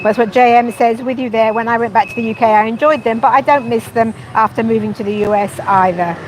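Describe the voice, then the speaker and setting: A woman's voice: fairly high pitch, quick pace. Laughing woman reading comments by traffic. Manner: agreeable, laughs often. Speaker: co-host reading viewer comments. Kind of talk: casual chat. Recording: street traffic noise behind.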